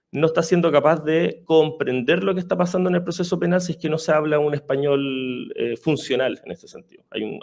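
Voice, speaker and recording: A middle-aged man giving a seminar lecture, often pausing on fillers and restarting his sentences. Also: mid-range voice, gravelly, a little mellow. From Chile. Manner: hesitant, many fillers and restarts. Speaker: public defender office head of studies, seminar speaker.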